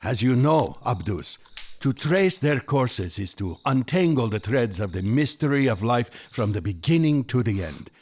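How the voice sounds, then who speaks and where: An actor in an audio drama playing an earnest, devout Magus, speaking in a solemn, impassioned, oratorical manner. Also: male voice, medium pitch, sing-song, normal speed, darkish tone, breathy edge. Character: Artaban, earnest devout male Persian Magus, fervent seeker.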